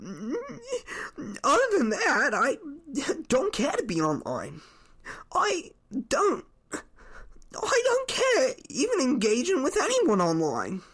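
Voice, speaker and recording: A woman playing a tearful, overwhelmed male defendant who pleads haltingly in a courtroom reenactment, voice breaking. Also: high voice, huge pitch swings, fairly bright tone, slightly rough, heavily acted. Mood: tearful pleading. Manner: halting, repeating, whiny. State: crying, voice breaking. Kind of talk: amateur readers theater court transcript reenactment.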